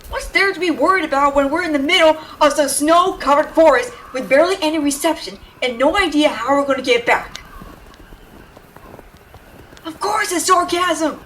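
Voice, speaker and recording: A woman's voice: sits high, moderate pace, fairly bright tone, distant mic, slight background noise, rough recording. A woman playing a brash but caring tsundere young woman in an intimate audio roleplay, scolding with tender worry underneath. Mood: sarcastic, exasperated. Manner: brusque, scolding, exasperated, with tender worried undertones. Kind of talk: intimate dramatic audio roleplay addressed to the listener.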